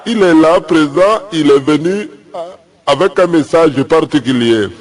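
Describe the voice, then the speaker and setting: A man's voice: fairly high pitch, measured pace, some chatter behind, lightly acted. A man playing a fervent, reverent host pastor in a comedy sketch, introducing a guest with solemn, exhortatory phrases. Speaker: pastor presenting a guest preacher. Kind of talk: comic church introduction.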